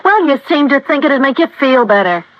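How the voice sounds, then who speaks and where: Brassy young American wife, scornful and slangy, vintage radio noir, muffled recording. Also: female voice, high voice, sing-song, brisk, slight background noise. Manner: slangy, offhand, contemptuous. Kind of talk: 1950s radio drama acting.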